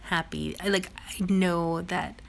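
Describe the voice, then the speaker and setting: A young woman with a hoarse, raspy voice from a cold, chatting casually through a crafting video voice-over. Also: fairly low pitch, flat delivery, takes it slowly, slight background noise. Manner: chatty, bubbly, lots of "like" and "um". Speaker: crafting vlogger.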